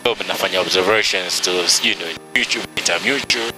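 Male flight ops officer, easygoing, short words, low voice, music bed. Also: fairly quick, low-quality recording. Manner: relaxed, short phrases, mixes languages. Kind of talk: brief casual greeting. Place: small aircraft cockpit in flight.

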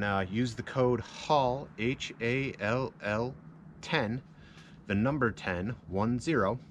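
A man talking casually and informally to viewers as a woodcarver presenting a tutorial. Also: mid-range voice. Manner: informal, addresses viewers as "you guys". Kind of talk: casual how-to talk to viewers. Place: outdoor yard work area.

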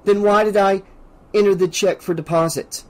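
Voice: mid-range voice, fairly even intonation, normal speed, darkish tone, pitched above the performer's own voice, natural voice. A narrator as an earnest young man, defensive. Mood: composed, challenging. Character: earnest, put-upon young man bank teller.

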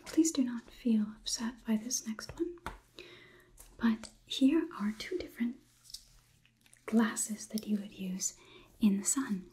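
A young woman playing a polite, curious, clinical robot overlord in a whispered ASMR roleplay, with measured, formal delivery. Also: on the high side, animated, mellow, slight background noise. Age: young adult. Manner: slow, measured, deliberate, formal wording. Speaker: ASMR performer.

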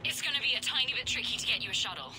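A woman's voice: on the high side, expressive, rapid-fire, sharp tone. A woman playing an eager, nervous young staff analyst on comms in a video game, hesitant and earnest. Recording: noisy game action behind the voice.

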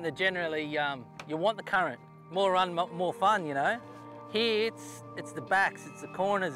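A man with an Australian accent speaking earnestly about tournament fishing, with halting restarts. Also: sits high, fairly bright tone. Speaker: tournament angler. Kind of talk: reflective interview and tips.

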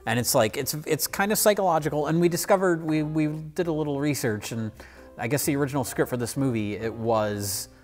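A man's voice: fairly high pitch, fairly quick, faint music behind. A man talking casually as a panelist on a film review show.

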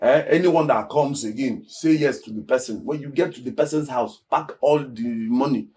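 An actor playing a poor, aggrieved young village farmer with a Nigerian accent, stammering and repeating himself in agitation. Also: male voice, on the high side, expressive, moderate pace, fairly bright tone. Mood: low, scheming. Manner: agitated, stammering repetition of words. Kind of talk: Nollywood film acting. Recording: background music under dialogue.

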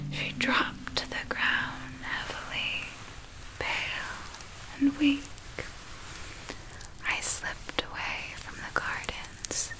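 Wicked female vampire , played by a woman, ASMR monologue. Breathy whisper, extremely unhurried, deliberate, relishing delivery. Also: fairly high pitch, rough recording. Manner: slow, deliberate, savoring storytelling. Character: wicked female vampire, savoring past hunts and treasures.

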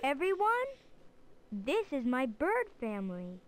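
A little girl bat from a storybook game. The voice is high and very lively, with slow, emphatic delivery. It sounds cheerful and full of eager excitement. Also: full character voice. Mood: excited. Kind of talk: animated storybook game acting.

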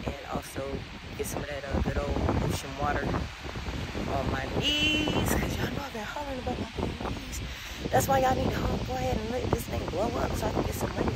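Chatty woman on a windy beach. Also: on the high side. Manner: chatty, rambling, talks straight to viewers. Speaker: vlogger. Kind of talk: casual walking vlog. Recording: loud wind and wave noise. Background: wind and surf.

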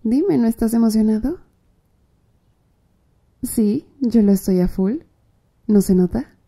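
A woman's voice: a little high, lively intonation, slow pace, dark tone, husky, exaggerated voice. A woman playing a flirtatious, teasing female tattoo artist in an ASMR roleplay, murmuring breathily and seductively to the listener. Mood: playful, teasing. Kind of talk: Spanish-language ASMR roleplay.

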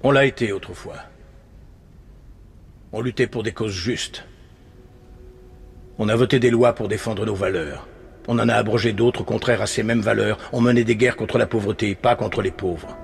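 An actor playing a jaded middle-aged news anchor in a dubbed TV drama, speaking in a biting, emphatic, rhetorical manner. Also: male voice, mid-range voice, lively intonation, quick pace, breathy edge. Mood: wistful, solemn. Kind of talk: dramatic monologue in a dubbed TV series.

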